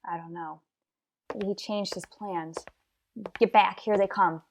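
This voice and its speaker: A woman's voice: mid-range voice, steady tone, a little slow, slight background noise, natural voice. A woman playing a terse, dutiful police detective in an old-time radio crime drama, clipped and matter-of-fact. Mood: hushed, watchful. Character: terse, dutiful female police detective narrating her case.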